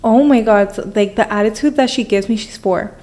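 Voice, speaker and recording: A woman's voice: medium pitch, normal speed, a little mellow. A woman in her twenties hosting a casual podcast in a bubbly, informal, teasing way. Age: 20s. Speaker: podcast host.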